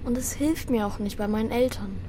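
A woman as a high-voiced, earnest young boy. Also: a little fast, background noise, close to an everyday voice. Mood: troubled, earnest. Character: Bela, young boy grieving his brother, earnest child narrator. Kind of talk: children's radio play acting and narration.

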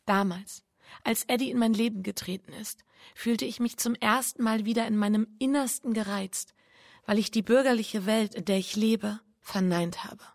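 An actress playing an anguished, guilt-ridden bourgeois wife in a radio drama, speaking with breathy, introspective intensity. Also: female voice, on the high side, no room sound, studio quality. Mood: agitated, confessing. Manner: intense, introspective, pleading, halting repetitions. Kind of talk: German radio drama, intimate monologue and confession.

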